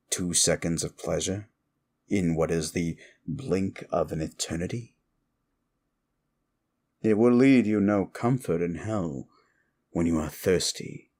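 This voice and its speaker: A sly male demon played by a man speaks in a low voice and takes his time with every phrase. He is a wicked manipulator in an intimate ASMR audio roleplay, teasing his listener with condescending ease. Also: a little mellow, full character voice. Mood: mocking, grave.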